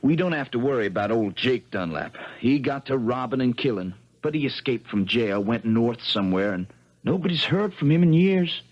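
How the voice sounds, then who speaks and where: An actor playing a resolute, respectful young American man from a small town, with a slightly husky voice, in a 1970s radio drama. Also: medium pitch, expressive, moderate pace, lightly acted. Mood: attentive, respectful. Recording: dull vintage radio recording.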